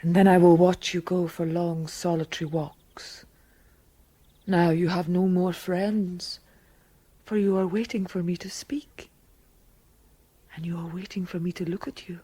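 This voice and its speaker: An actress in a radio drama playing an intense, willful mute pianist voicing her secret thoughts, often dropping to a breathy whisper. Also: on the low side, little pitch movement, measured pace, long pauses, warm tone. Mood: yearning, sensual. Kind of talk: radio drama inner monologue.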